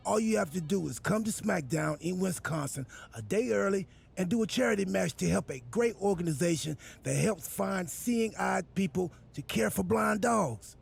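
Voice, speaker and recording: A high, heavily creaky male voice for a scatterbrained wrestler who fancies himself in charge. He rambles earnestly and takes everything literally, with a husky rasp, in the manner of a game cutscene. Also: lively intonation, normal speed, hardly any pauses, heavily acted. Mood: pitching, upbeat. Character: goofy, scatterbrained, self-important male wrestler playing boss. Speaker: wrestler acting as general manager. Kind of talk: video game cutscene acting.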